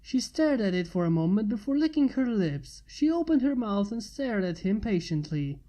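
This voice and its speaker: A woman narrates a piece of fan fiction, reading it aloud as a story. Her voice is dark and mellow, at a middling pitch for a woman. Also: big pitch swings, normal speed.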